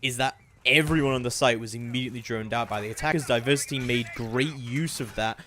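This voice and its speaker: A man's voice: medium pitch, a little fast, crowd noise. A man giving live play-by-play commentary on a video game as an esports caster, talking analytically. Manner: analytical, hedging, swells into excited calls.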